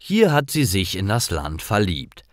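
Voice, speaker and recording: A man's voice: sits low, huge pitch swings, measured pace, dry recording, studio quality. A man narrating simple scripted stories for language learners, carefully, in short sentences.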